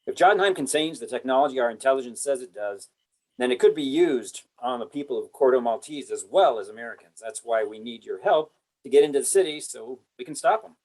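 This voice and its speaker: A man's voice: medium pitch, expressive, brisk, darkish tone, lightly acted, low-quality recording. A man playing an earnest, exasperated military officer in a script read-through.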